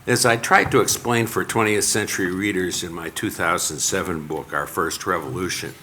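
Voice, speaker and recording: An older man giving a prepared lecture as a panel speaker, in a measured way that sounds read from a text. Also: low-pitched, bright, forceful tone, a little hiss or hum. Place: lecture hall.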